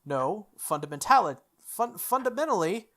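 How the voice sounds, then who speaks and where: A gaming host reading a visual novel aloud, breaking into casual, expressive reactions. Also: medium pitch, moderate pace.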